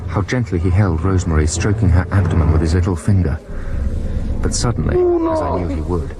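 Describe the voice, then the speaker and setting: Low-voiced young German student narrator. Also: male voice, sing-song, brisk, over loud music. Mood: dreamy. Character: young German geology student narrating, timid, earnest, naive. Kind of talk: dramatised first-person narration. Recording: 1990s radio broadcast with noisy effects behind.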